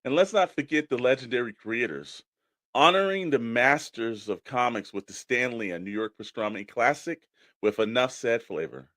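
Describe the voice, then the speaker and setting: A man playing a commercial announcer, reading a scripted radio ad in a deliberate, promotional manner. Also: mid-range voice, lively intonation, a bit bright, dry recording, natural voice. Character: male commercial announcer pitching heroic fast food.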